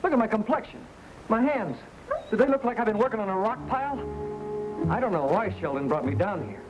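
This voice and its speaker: A man's voice: high voice, expressive, a little fast, low-quality recording. An actor playing a desperate, earnest accused man pleading his innocence in an old-time radio western. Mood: indignant, defensive. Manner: pleading, insistent. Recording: loud music behind old radio drama.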